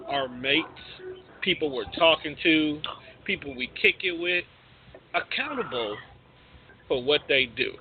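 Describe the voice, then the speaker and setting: A man hosting a casual radio show, explaining things in slangy, emphatic phrasing. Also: on the high side. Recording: tinny phone-like line, faint noise.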